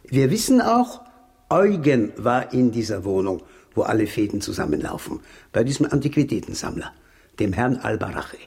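An actor playing an obsessed, self-assured engineer and war veteran in a radio play, talking in deliberate, dramatic, insistent phrases. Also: male voice, mid-range voice, sing-song, creaky, darkish tone, breathy edge, heavily acted. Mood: urgent, convinced.

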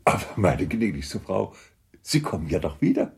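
An actor playing a courteous, devoted, timid gentleman apothecary in a period radio play, deferential and gallant in his formality. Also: low-pitched, sing-song, normal speed, gravelly, roomy sound, exaggerated voice. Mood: flustered courtesy. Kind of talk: period radio drama acting.

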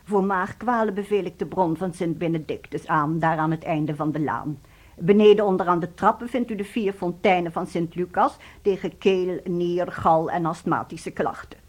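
An actress playing a pious, businesslike nun in a radio play, formal, measured and matter-of-fact. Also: female voice, lowish voice, breathy edge. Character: businesslike, pious nun directing pilgrims at holy springs.

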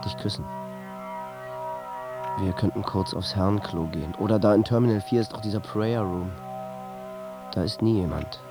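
A man acting a stressed business traveller and wary lover in a radio drama, murmuring intimately under loud music. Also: on the low side, normal speed, pauses often, lightly acted.

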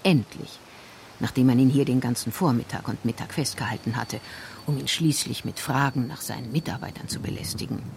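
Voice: mid-range voice, fairly quick, vocal fry, a little mellow, a little hiss or hum. A narrator reading scripted narration for a radio crime drama.

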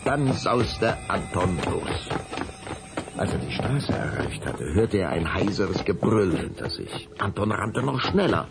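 A man's voice: low-pitched, very animated, measured pace, creaky, loud music behind the voice. A man narrating a children's audio drama in a dramatic storybook style.

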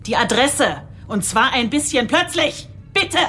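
A woman's voice: sits high, expressive, a little slow, a bit bright, a little hiss or hum. An actress playing a brusque, impatient chief inspector in a radio drama, blunt and sarcastic in her commands. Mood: impatient, threatening.

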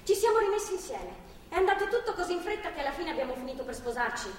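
A woman's voice: very high voice, sing-song, fast talker. An actress playing a stubborn young captive woman in a stage drama, bitter and blunt, with coarse emphatic talk. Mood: rueful, candid. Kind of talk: live stage drama dialogue. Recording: distant echoey theatre hall, faint noise.

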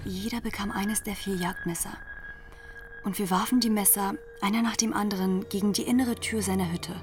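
A mid-range female voice of an actress recalls her youth in a gang. The narration is measured, grave and literary, as in a radio play, with faint music underneath. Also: mid-range voice, steady tone, natural voice. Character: former gang member gravely recalling the story. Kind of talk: dramatic first-person radio drama narration.

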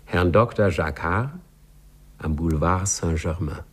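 An actor as a wry, measured storyteller, narrating a scripted 1950s radio play. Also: male voice, deep voice, a little hiss or hum.

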